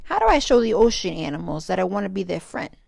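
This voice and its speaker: A woman voicing an eager, friendly young male shark in an excitable, earnest storybook read-aloud. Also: mid-range voice, quick pace, vocal fry, full character voice. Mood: eager, pleading.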